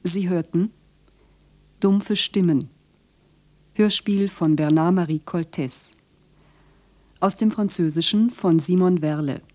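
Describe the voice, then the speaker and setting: Low, mellow, measured woman radio announcer. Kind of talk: formal scripted radio credits.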